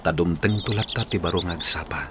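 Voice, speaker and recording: A man's voice: low voice, quick pace, background noise, close to an everyday voice. A man playing a sorrowful, reflective Filipino villager with an Ilocano accent in a radio drama. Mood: wistful.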